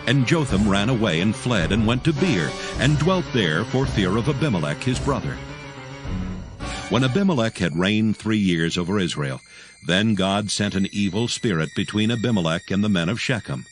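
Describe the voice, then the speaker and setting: A man's voice: lowish voice, animated, moderate pace. A man narrating a dramatized reading of scripture, with music behind him.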